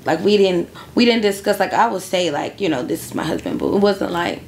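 A woman's voice: mid-range voice, a little fast, a little mellow, slight background noise. A woman in her twenties telling a story casually as an interview guest, emphatic and repeating phrases for effect. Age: 20s.

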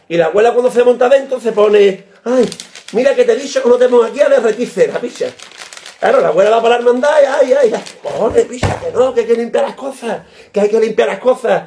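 A man, ranting loudly and comically to a webcam in a small room, gesturing wildly and swearing. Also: high voice. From Spain. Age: 30s. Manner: loud, animated, gesturing wildly, swearing and shouting. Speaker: comedy vlogger. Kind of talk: comic rant to camera. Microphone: laptop webcam.

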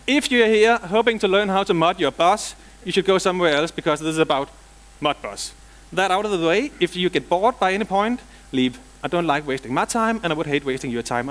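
A man's voice: on the high side, slight background noise. A middle-aged man from Denmark with a Danish accent, giving a technical conference talk in a large hall with dry jokes and casual asides. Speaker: conference speaker.

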